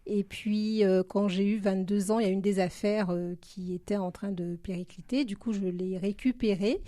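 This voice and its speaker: A woman's voice: medium pitch, steady tone, normal speed, dark tone, no echo. A woman in her forties from Guadeloupe, speaking conversationally as a guest in a radio interview. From Guadeloupe, then Paris from age 13. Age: 40s. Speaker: interview guest, business founder.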